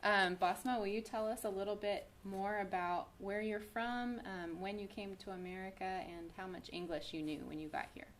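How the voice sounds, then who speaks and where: A woman's voice: medium pitch, steady tone, moderate pace, few pauses, a little hiss or hum. A woman teacher interviewing students, summing up their answers encouragingly.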